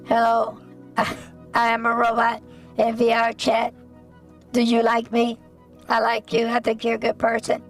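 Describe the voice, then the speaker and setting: A man voicing a friendly robot avatar that wants to be liked, through a pitched-up voice changer. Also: completely flat delivery, measured pace, long pauses, fairly bright tone, far from the mic, soft background music, exaggerated voice. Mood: eager, friendly. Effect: hardware voice changer, pitched up.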